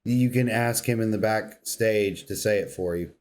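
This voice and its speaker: A man's voice: on the low side, normal speed, darkish tone. A man co-hosting a gaming podcast, bantering in an informal, slangy way. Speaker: podcast co-host.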